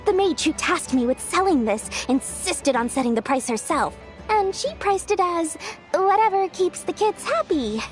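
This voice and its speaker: An actress voicing a greedy, cheerful young female merchant in a game, talking in a bubbly sing-song sales pitch. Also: very animated, moderate pace, soft background music. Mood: cheery salesy. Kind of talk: video game dialogue.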